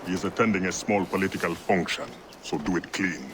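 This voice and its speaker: Guy playing calm sly spy contact in a game, real deep, measured, background noise around him. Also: lightly acted. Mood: calm, conspiratorial. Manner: measured, matter-of-fact. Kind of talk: video game acting.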